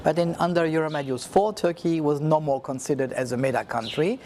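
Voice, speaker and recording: Male program coordinator, reflective and halting delivery, somewhat high-pitched, faint noise in the recording. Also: fairly even intonation, darkish tone. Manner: halting, non-native phrasing with many fillers. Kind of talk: reflective speech.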